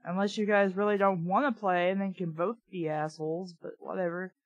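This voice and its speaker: A woman's voice: fairly low pitch, fairly even intonation, a little fast, muffled recording. A woman reading a book aloud casually, with blunt, sweary, opinionated off-the-cuff commentary. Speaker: reader and commentator of a children's book.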